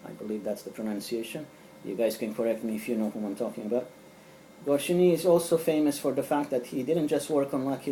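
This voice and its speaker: Middle-aged man, native Serbian speaker, very dark mellow tone, hesitant rambling, faint hiss. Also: mid-range voice, poor audio. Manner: rambling, hesitant with frequent fillers and restarts. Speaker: collector reviewing comics. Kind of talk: casual collector show-and-tell. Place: small room at home.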